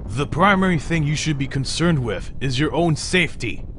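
A man's voice: on the high side, animated, normal speed, a bit bright, slight background noise. A man playing an earnest, protective village doctor in a game fan dub, speaking formally and with urgency. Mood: concerned, firm. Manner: formal, precise wording, urgent.

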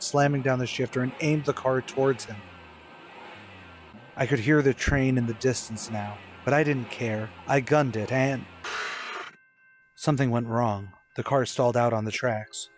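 An actor playing an anxious, obsessive motorist in a radio suspense drama, speaking dramatically and halting when rattled. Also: male voice, mid-range voice, natural voice. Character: haunted cross-country motorist, man, anxious, increasingly obsessive. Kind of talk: old-time radio suspense drama acting, first-person narration and dialogue.

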